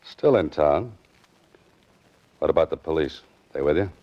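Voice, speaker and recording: A very low-voiced male writer on the run, cool and wry. He tosses off deadpan wisecracks in 1940s radio detective style, on a muffled old-time radio recording. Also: unhurried. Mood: dry, guarded.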